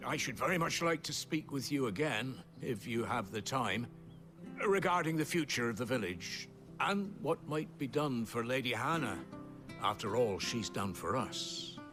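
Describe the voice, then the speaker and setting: A man's voice: medium pitch, animated, moderate pace, a bit bright, close to an everyday voice. A man acting a careworn village man, music behind. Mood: respectful. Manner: earnest, careworn. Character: weary, deferential village man, bitter but kindly. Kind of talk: dramatic video game acting.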